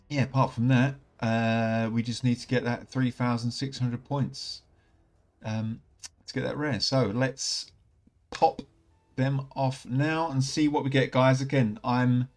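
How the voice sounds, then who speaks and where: Chatty man with a croaky cold. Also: mid-range voice, darkish tone. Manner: chatty, upbeat, rambling with many fillers. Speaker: gaming channel host. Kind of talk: casual commentary while opening game packs.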